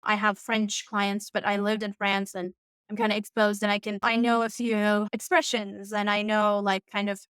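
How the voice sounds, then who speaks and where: A woman from Turkey whose first language is Turkish, a coach guest on a podcast, chatting casually and warmly with many fillers. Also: mid-range voice, flat delivery, normal speed, clean tone, dry recording. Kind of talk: casual interview chat.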